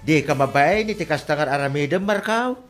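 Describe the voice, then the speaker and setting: A man's voice: a little high, animated, brisk, over loud music, natural voice. A man with an Ilocano accent acting as an exasperated middle-aged father in a radio comedy drama, scolding and disbelieving.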